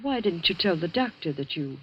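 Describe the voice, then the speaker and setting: An actress playing a caring, reassuring woman who soothes and gently coaxes in a 1940s radio drama. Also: lowish voice, lively intonation, a little fast, close to an everyday voice. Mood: soothing. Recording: dull, noisy vintage radio recording.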